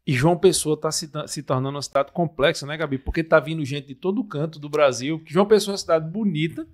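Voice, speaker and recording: A man hosting a studio podcast, prompting his guest in a chatty way at a desk boom mic. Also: fast talker. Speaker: podcast host. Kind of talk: podcast interview. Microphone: desk mic on boom arm.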